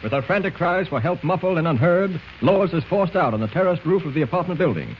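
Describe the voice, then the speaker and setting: A man's voice: on the high side, big pitch swings, fairly quick. A man narrating dramatically as a 1940s radio serial announcer. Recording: muffled, very noisy old radio recording.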